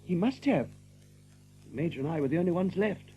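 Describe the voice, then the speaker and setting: An actor voicing a nervy, gentle rabbit survivor in an audio drama, confiding and repeating his phrases. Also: a little high, lively intonation, fairly quick, a little hiss or hum, close to an everyday voice. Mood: shaken, rueful. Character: nervy, soothing male rabbit survivor of a massacre.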